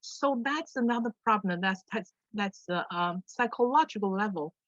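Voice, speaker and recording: A woman's voice: medium pitch, expressive, moderate pace, a bit bright, no echo, lo-fi sound. A woman speaking earnestly on a video call panel, repeating herself and using non-native grammar.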